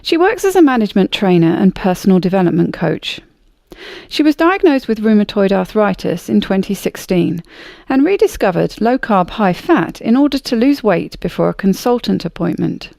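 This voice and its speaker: An upbeat, friendly woman hosts a podcast, reading her intro and chatting easily. Her voice has a gravelly fry at its edges. The sound is dry and close, with no room around it. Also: mid-range voice, sing-song, normal speed, a little mellow, no echo. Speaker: podcast host. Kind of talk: scripted intro, then casual interview chat.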